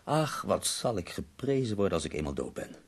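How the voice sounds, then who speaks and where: Very deep, creaky, dying poet. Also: male voice, very animated, fairly quick, full character voice. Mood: weary, bitter. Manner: wry, weary, self-mocking. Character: ailing, bitterly ironic, bedridden male poet. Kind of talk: radio drama acting.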